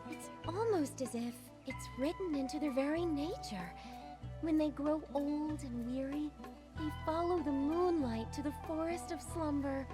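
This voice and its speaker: A woman playing a gentle, poetic wandering bard in a video game, speaking in a lyrical, unhurried storytelling manner. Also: high voice, over music. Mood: wistful, tender. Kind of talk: scripted video game voice acting.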